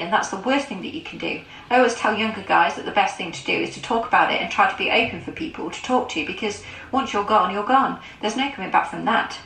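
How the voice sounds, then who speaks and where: A woman reads scripted entertainment news in a measured, even way. Her voice is mid-pitched. The clip is played back into an echoey room, with faint noise behind it.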